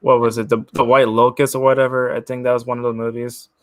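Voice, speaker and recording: Guy hosting a movie chat, medium pitch, says like a lot, dry close mic. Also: little pitch movement, fast, no echo. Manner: chatty, lots of "like", laughs easily. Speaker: host. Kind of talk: casual chat.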